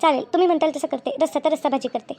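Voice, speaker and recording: A woman's voice: high-pitched, very fast, darkish tone. A woman voicing an anxious, deferential young working daughter-in-law in a cartoon family drama, speaking colloquially.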